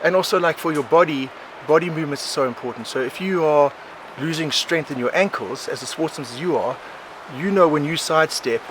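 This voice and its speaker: A South African man in his forties, a podcast guest, telling his life story in a rambling, animated way, often laughing. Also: on the high side, a little fast, few pauses. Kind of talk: casual conversational storytelling. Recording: outdoor recording with clear background noise.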